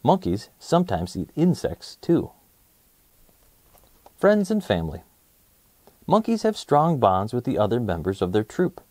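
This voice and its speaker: A man reading a children's nonfiction book aloud as host, in an expressive, clearly enunciated storytelling manner for young listeners. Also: mid-range voice, measured pace, long pauses, dry recording.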